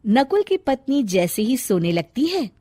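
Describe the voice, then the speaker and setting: A woman's voice: a little high, big pitch swings, measured pace, mellow. A woman narrating a scripted comic story as a storyteller.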